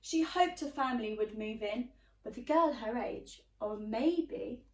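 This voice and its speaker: A woman's voice: a little high, a little slow, darkish tone. A woman teacher reading a children's storybook aloud, expressively addressing young listeners. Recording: roomy, slightly distant microphone.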